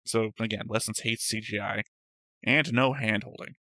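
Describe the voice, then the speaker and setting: A man's voice: on the low side, fairly even intonation, normal speed, sharp tone, dry recording. A man co-hosting a reaction show, casually teasing and mocking his friend while they argue. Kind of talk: casual banter reacting to anime.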